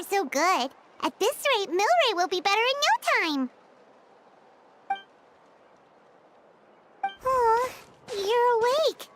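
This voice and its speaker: A woman voicing a tiny, bubbly fairy companion with a squeaky childlike voice in animated game dialogue. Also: very noisy. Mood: gentle, cheerful. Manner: exclamatory, bubbly.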